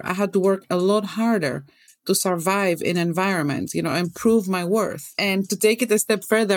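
A woman's voice: medium pitch, a little mellow, no room sound. A middle-aged woman from Greece with a Greek accent, speaking as an interview guest and telling her story in an earnest, candid way. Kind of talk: reflective interview conversation.

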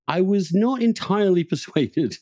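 Halting, reflective man, bright and dry. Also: high voice, expressive, no room sound. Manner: halting, searching, self-interrupting with repeated words. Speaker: podcast host. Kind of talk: reflective intellectual conversation.

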